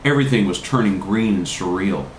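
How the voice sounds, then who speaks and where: A man reads a comic story aloud with dramatic, deadpan timing and very lively intonation. His medium-pitched voice sounds in a roomy, echoing space with faint noise. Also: fairly quick. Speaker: reader. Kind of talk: live comic story reading.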